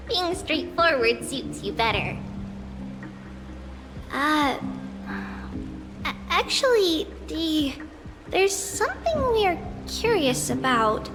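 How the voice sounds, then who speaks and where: A woman playing a gentle, caring girl-like guide in a video game, speaking softly and reassuringly. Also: sits high, exaggerated voice. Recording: long reverb, music behind.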